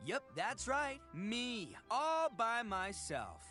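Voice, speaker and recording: A man's voice: extremely high pitch, huge pitch swings, slow pace, bright, forceful tone, soft background music, heavily acted. A man voicing a boisterous young male oni gang boss in a video game, brash and blustering and prone to stammering when flustered. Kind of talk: animated video game voice acting.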